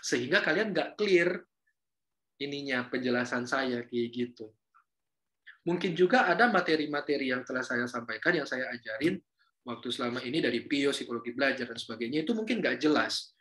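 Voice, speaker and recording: A man's voice: medium pitch, expressive, a little fast, bright, forceful tone. A man giving a casual university lecture, chatting with students, asking them questions and slipping in Javanese and English words. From North Sulawesi, Indonesia.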